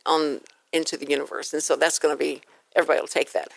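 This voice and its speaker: A woman with a slightly low voice hosts a casual talk show. She tells stories in an animated, conversational way, and the sound around her is very dry. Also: quick pace, creaky, no room sound, rough recording.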